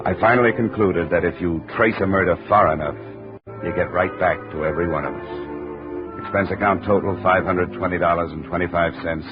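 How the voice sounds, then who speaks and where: Man doing a hard-boiled investigator narrating, terse clipped lines, deep low voice, dull old radio sound, orchestra music underneath. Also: lightly acted. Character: hard-boiled male insurance investigator, adult, grim. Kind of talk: 1950s radio drama narration.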